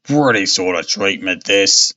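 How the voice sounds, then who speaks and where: A man acting very old seaman, deep-set pitch, indignant, stubborn, coarse grammar, audiobook character, dull recording. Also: expressive, measured pace, sharp tone, exaggerated voice. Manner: rough uneducated speech, obstinate.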